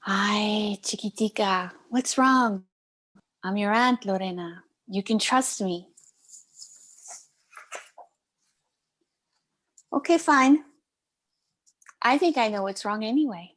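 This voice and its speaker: A woman's voice: mid-range voice, measured pace, a bit bright. A woman playing a caring but firm middle-aged Cuban aunt in a play table read, coaxing and mixing in Spanish endearments. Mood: coaxing, careful.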